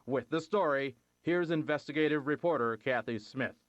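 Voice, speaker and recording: An actor playing a male TV news anchor with a smooth newsreader delivery. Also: a little high, normal speed, muffled recording, a little hiss or hum, lightly acted. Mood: newsreading.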